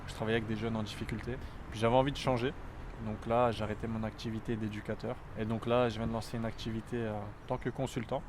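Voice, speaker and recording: A man in his twenties from France, talking earnestly and reflectively in a documentary interview, often pausing to think. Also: fairly low pitch, level tone, background noise. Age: 20s.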